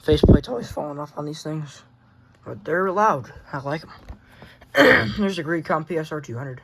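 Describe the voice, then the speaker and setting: Teen guy kinda high voice, rambling thru his radio collection, keeps correcting himself. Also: lively intonation, normal speed, creaky, fairly bright tone, breathy edge. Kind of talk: casual show-and-tell.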